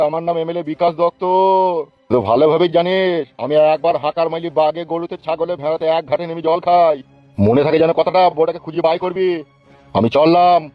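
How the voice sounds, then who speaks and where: Casting: male actor as blustering, threatening politician for comic cartoon, highish pitch, very fast, dry lo-fi sound. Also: natural voice. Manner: scolding, cursing, blustering. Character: angry foul-mouthed male village politician, bullying and threatening. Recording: dull lo-fi, music behind.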